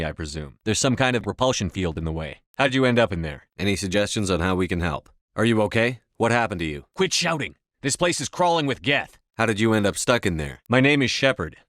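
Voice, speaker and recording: A man's voice: on the low side, fairly bright tone, no echo, studio quality. A man playing a resolute, authoritative military commander in a video game, speaking in a firm, terse way. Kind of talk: video game voice acting, scripted dialogue lines.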